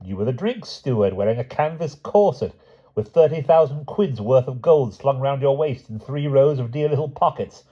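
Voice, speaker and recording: A man's voice: medium pitch, expressive, normal speed, slightly rough, muffled recording, pitched above the performer's own voice. A man voicing a mocking, vengeful one-handed man who taunts sarcastically.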